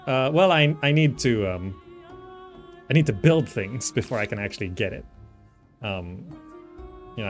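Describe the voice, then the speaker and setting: A man in an ordinary mid-pitched voice talks through a strategy game as he plays. He muses aloud and circles back over his own reasoning, casual throughout, with game music playing behind him. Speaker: gamer, channel host. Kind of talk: casual gameplay commentary, thinking aloud.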